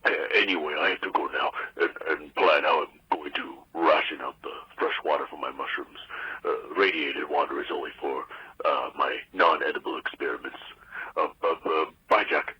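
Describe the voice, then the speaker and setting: A man's voice: extremely low pitch, normal speed, breathy edge, lo-fi sound, full character voice. A man playing a nervous, fussy mushroom grower leaving a hesitant, stammering radio voicemail in an audio drama. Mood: flustered.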